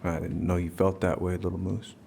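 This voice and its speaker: An actor playing a gruff, protective middle-aged police chief and uncle in a video game, speaking in a measured, fatherly way. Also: low voice, completely flat delivery, warm tone, full character voice. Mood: softened, affectionate. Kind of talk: naturalistic video game acting. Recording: music behind the voice.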